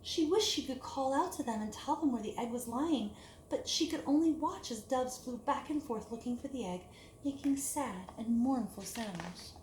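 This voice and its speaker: A woman reading a picture book aloud to children in an expressive, deliberate storytelling manner, with hushed dips and emphatic lifts. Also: a little high. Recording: echoey room with clear background hiss.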